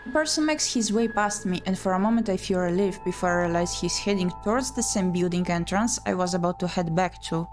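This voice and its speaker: A woman reading a first-person story aloud in a dramatic narrating style for a game stream. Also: medium pitch.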